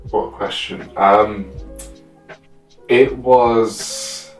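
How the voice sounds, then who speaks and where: A young man with a low voice recounts things casually and haltingly. He sits a little away from the microphone in a roomy space. Faint music plays underneath. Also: fairly even intonation, recorded from a distance. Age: 20s. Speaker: guest, fellow live roleplayer. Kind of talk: casual chat, recounting a scene. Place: small room at home with costumes.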